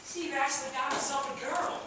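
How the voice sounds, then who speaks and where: A woman acting an amused, teasing onlooker in an amateur stage play, animated and exclamatory. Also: sits high, brisk, close to an everyday voice. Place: theatre stage, recorded from a distance. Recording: echoey theatre, distant mic, loud noise.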